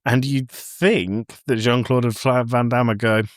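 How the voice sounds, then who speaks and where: A man's voice: mid-range voice, steady tone, measured pace, dry recording. Man chatting on a dry podcast recording. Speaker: podcast host. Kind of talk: casual film discussion.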